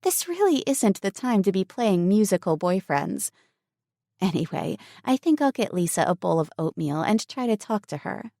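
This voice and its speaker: A woman voicing a kind, level-headed young woman who talks in an earnest, gentle way. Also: medium pitch, big pitch swings, moderate pace, creaky, no room sound, clean studio sound, voice pushed up. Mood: flustered.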